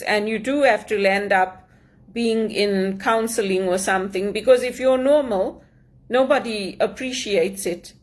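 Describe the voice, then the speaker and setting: A woman from Zimbabwe in an earnest personal monologue, aggrieved, repeating phrases for emphasis. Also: mid-range voice. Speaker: immigrant sharing her story.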